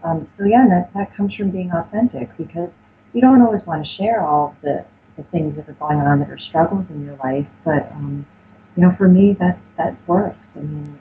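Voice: mid-range voice, normal speed. A health consultant guest answering interview questions, hesitant and full of fillers but open and earnest. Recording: tinny phone-like line with faint noise.

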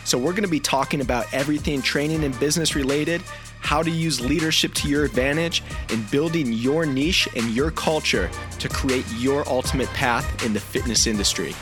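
A man's voice: mid-range voice, moderate pace, over music. A man hosting a podcast in a punchy, informal way, moving between promotional intros and casual chat. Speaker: podcast host.

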